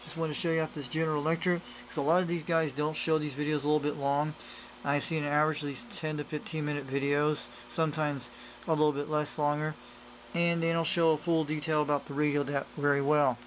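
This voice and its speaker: A man with a slightly raised pitch talks casually, as if to viewers of a homemade demo. He wanders through his explanation and repeats himself in loose wording. The amateur camera audio carries some noise behind him. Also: little pitch movement, fast talker, rough recording. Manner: repetitive, loosely worded explaining. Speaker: hobbyist radio reviewer. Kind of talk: casual rambling demonstration to camera. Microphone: handheld camera microphone.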